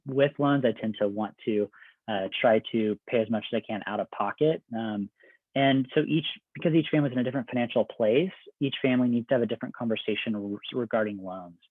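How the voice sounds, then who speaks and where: A man working as an admissions counselor, giving a conversational, step-by-step presentation with everyday examples. His voice is getting a little raspy. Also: fairly low pitch, brisk, dry recording. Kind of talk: informational presentation. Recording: dry, phone-like video call audio.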